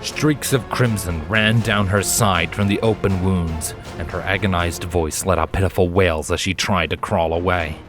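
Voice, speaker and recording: A man's voice: sits low, fairly even intonation, normal speed, few pauses, fairly bright tone. A man reading fan fiction aloud as a dramatic, scripted story narrator. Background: background music.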